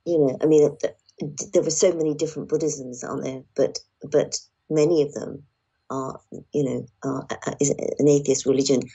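Female guest, deep for a woman, very dark and mellow tone, husky, conversational. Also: steady tone, moderate pace, muffled recording. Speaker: podcast guest, actor. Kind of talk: casual discussion.

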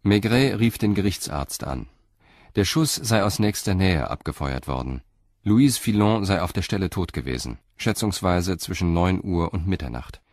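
A man narrating a scripted crime story as a radio drama narrator, in a sober, measured manner. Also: sits low, animated, a little mellow, no room sound, clean studio sound.

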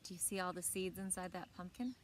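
A woman in her thirties reading aloud to children in an expressive storytelling style, with short asides. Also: lowish voice, brisk, a little hiss or hum. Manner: expressive, clearly paced reading to young listeners. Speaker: library story time reader. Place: outdoors on a lawn beside evergreen trees. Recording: outdoor ambience with birdsong. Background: birds and outdoor ambience.

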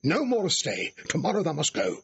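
An actor playing a commanding gentleman father, peremptory, in a classical audio drama. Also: on the high side, huge pitch swings, normal speed, gravelly, slightly rough, heavily acted. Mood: brisk, commanding. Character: commanding gentleman father dispatching his son. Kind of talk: classical stage-style audio drama acting. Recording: dull, band-limited vintage audio recording.

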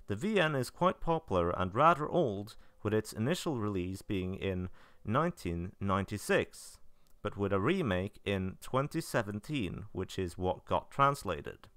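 A man with a low, clean and resonant voice narrates semi-scripted review commentary. His intonation rises and falls a lot, yet his manner stays drily understated. The voice-over is close, with almost no room sound. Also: fairly bright tone, clear, resonant tone, dry recording. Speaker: video narrator reviewing visual novel releases.

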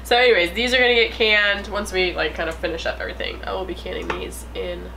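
A woman's voice: mid-range voice, lively intonation, quick pace, background noise. A young woman cheerfully explaining her plans for the food while cooking in her home kitchen. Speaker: homestead vlog co-host. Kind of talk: casual chat while cooking.

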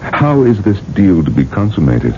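An actor playing a blunt, impatient, skeptical rich industrialist in a radio drama. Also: male voice, low-pitched, sing-song, normal speed, dark tone. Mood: skeptical, insistent. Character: domineering, skeptical wealthy male industrialist. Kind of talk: 1980s radio drama acting. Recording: dull, hissy old radio recording.

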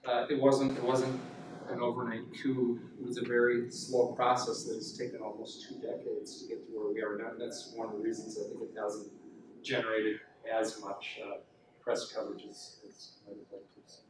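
A man's voice: mid-range voice, monotone, normal speed, noisy background. A middle-aged man, a journalist, answering audience questions in a rambling way with many fillers and restarts. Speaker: guest speaker, journalist. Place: university classroom. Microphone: far camera, no mic. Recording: echoey classroom from far off.

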